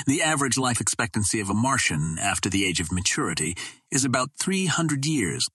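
Low-pitched, slightly husky man narrating. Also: fairly bright tone, dry recording. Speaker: audiobook narrator. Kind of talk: scripted literary narration of an adventure novel.

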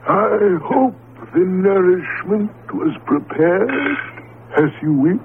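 Ancient egyptian statue come alive, solemn n formal, drawn-out words, old noisy radio play. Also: male voice, sits high, narrow, tinny audio. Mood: solemn, courteous. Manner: slow, formal, stilted speech. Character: animated ancient Egyptian pharaoh statue, solemn courteous servant. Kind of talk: 1970s radio drama acting.

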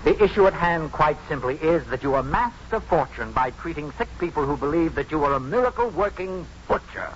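Actor as pompous accusing professor, higher-pitched. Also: male voice, animated, fairly quick, few pauses, natural voice. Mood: indignant, accusing. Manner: pompous, formal, pressing questioner. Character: stern accusing male medical professor, pompous and prosecutorial. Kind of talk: 1950s radio drama acting. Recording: dull noisy 1950s radio recording.

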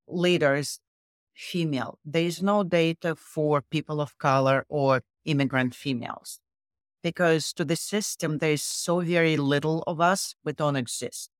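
Middle-aged woman, low voice, foreign accent, emphatic interview guest, dry sound. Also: dry recording. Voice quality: low voice with a non-native accent. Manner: emphatic, leans on facts and figures. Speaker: guest author. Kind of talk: interview conversation.

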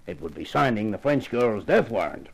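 Gentlemanly government chief, animated intonation, measured formal explanations, polite authority, 1950s radio drama. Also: male voice, on the low side, slightly rough, heavily acted. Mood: earnest, authoritative. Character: courteous, authoritative gray-haired male government official.

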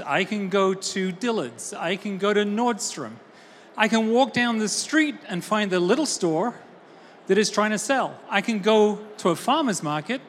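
A woman from Texas giving an energetic, conversational talk at a conference and asking the audience questions. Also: medium pitch, a little hiss or hum. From Austin, Texas. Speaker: conference keynote speaker. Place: large conference hall. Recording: roomy hall with faint ambience.

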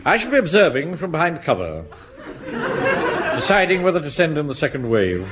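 Pompous English army captain, officious, exasperated, swooping highish voice, noisy old radio comedy. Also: male voice, wildly swooping pitch, a little fast. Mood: briskly instructing. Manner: officious, barking orders. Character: pompous middle-aged Home Guard captain, bossy and exasperated. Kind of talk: broadcast radio sitcom acting.

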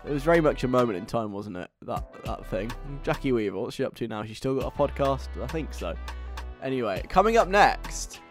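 Lively, chatty male radio host. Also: medium pitch, brisk, faint music behind. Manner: rambling, tongue-in-cheek, conversational. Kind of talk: casual, chatty radio presenting.

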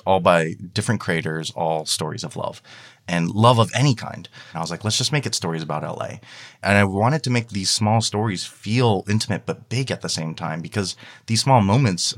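Very low-voiced man in casual interview. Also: a bit bright. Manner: conversational, quotes his own thoughts aloud. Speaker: guest, fiction podcast creator.

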